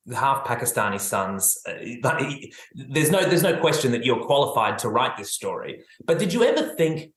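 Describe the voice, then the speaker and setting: The host is a man who asks careful, measured questions with a lively, rising and falling pitch. His voice carries the echo of a roomy space. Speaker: film show host. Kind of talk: interview questions.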